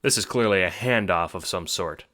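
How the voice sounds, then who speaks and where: A man's voice: deep voice, moderate pace, bright, forceful tone. A man narrating a scripted audio drama in the second person.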